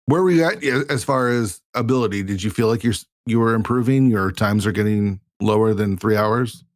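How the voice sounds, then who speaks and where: A man hosting a casual podcast interview, relaxed and encouraging, often repeating words. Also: lowish voice, fairly quick, no echo, clean studio sound. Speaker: podcast host.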